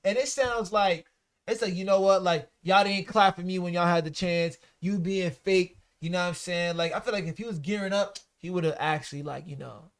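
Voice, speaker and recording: A man's voice: sits high, fairly quick. A man co-hosting a casual podcast, talking bluntly and emphatically with lots of profane slang. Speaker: podcast co-host. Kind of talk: casual chat about rap and culture.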